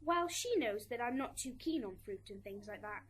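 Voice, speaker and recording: A woman's voice: on the high side, expressive, moderate pace, slightly rough. A woman acting a resentful, self-justifying schoolgirl daughter in an amateur radio drama. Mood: sullen. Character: resentful schoolgirl daughter testifying in afterlife court. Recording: hissy amateur recording, background noise.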